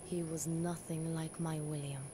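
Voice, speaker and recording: A woman playing a young, pious medieval queen who narrates her life in a solemn, measured and wistful way for a historical game. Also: deep voice, level tone, noisy background. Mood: wistful, reflective. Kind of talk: scripted dramatic narration of a historical game campaign.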